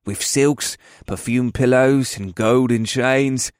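A man's voice: medium pitch, fairly even intonation, slow pace, clean tone, no room sound, studio quality, pitched above the performer's own voice, close to an everyday voice. A man voicing crude, cocky young male elf soldiers who grumble and sneer, in a fantasy audiobook. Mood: lewd smirking.

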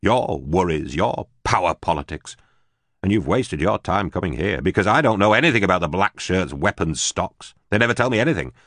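A man's voice: low voice, expressive, brisk, fairly bright tone, dry recording, studio quality, exaggerated voice. A man voicing a frightened, bitter former official in an audiobook, pleading and stammering nervously. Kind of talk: audiobook character voice.

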